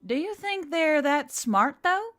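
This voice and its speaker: A woman's voice: high-pitched, sing-song, slow pace, fairly bright tone, faint music behind, pitched above the performer's own voice, heavily acted. A woman playing a flippant, sarcastic young female adventurer in a fantasy audiobook, blunt and teasing. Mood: skeptical. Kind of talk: scripted audiobook character acting.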